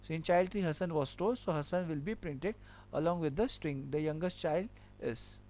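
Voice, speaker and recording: A man's voice: a little high, moderate pace, a little mellow, a little hiss or hum. A man teaching a programming lesson, walking through code step by step and repeating each point with a filler "okay". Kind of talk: screen-recorded coding lesson.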